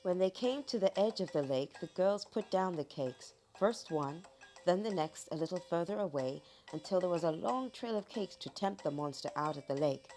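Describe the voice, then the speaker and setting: A woman narrating a children's folk tale from a script in a dramatic, expressive storytelling manner. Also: on the low side, moderate pace, faint music behind.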